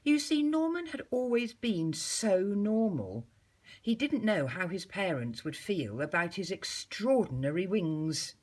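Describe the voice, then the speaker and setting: A woman reading a storybook aloud to young children like a schoolteacher, in an expressive, measured way with deliberate pauses. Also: medium pitch.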